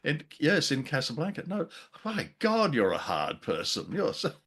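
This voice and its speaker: A middle-aged man co-hosting a casual film discussion, halting and jocular in his delivery. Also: fairly high pitch, wildly swooping pitch, fairly bright tone, slightly rough, soft background music. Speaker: podcast host.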